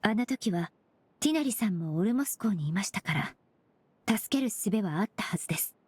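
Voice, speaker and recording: A woman's voice: medium pitch, expressive, a little fast, a little mellow, husky, no room sound. A woman playing a composed young female perfumer who reasons politely and formally, in anime game acting. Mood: calm, thoughtful. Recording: background music under the voice.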